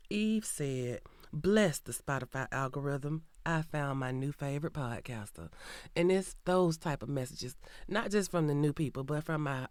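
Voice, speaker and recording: A woman's voice: deep voice, clear, resonant tone, no room sound, clean studio sound. A woman in her forties with a Southern American accent hosting a podcast, sick with a cold and a little nasal, chatting in a sassy, slangy way from a recording booth. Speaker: podcast host. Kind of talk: casual true crime podcast intro.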